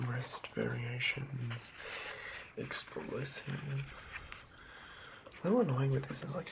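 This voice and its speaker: An ASMR host and game master speaking in a breathy whisper, rambling unscripted and thinking aloud in a hushed way. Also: mid-range voice, background noise.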